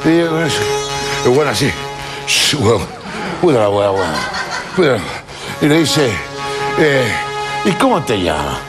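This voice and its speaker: A man's voice: medium pitch, unhurried, pauses often. An older man with a Chilean accent telling a crude joke on a TV stage, swearing constantly and putting on voices for the people in his story. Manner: profane, animated storytelling with voiced quotes. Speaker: stand-up comedian. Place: TV studio stage. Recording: loud background music.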